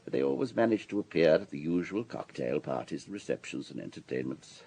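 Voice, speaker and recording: An actor playing a wealthy, urbane businessman in his sixties in a radio drama, husky and discursive, with a worldly, paternal manner. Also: very deep voice, sing-song, brisk, warm tone, full character voice. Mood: reflective, worldly. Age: 60s.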